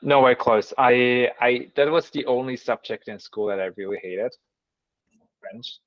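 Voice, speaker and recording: A man in his thirties from Switzerland with a German accent, a guest chatting casually in an interview, thoughtful and halting with frequent restarts. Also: mid-range voice, a bit bright, dry recording, lo-fi sound. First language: Swiss German. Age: 30s. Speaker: guest mathematician.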